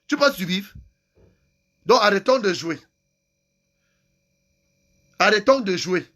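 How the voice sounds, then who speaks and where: Man, very high voice, furious activist rant, repeats himself for emphasis. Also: animated, slow, sharp tone. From Cameroon. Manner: angry, insulting, repeats phrases for emphasis. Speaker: activist vlogger. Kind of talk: impassioned political rant.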